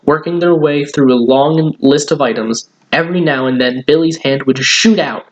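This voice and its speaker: A man's voice: medium pitch, poor audio. Man reading a children's story aloud. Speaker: children's story reader, host.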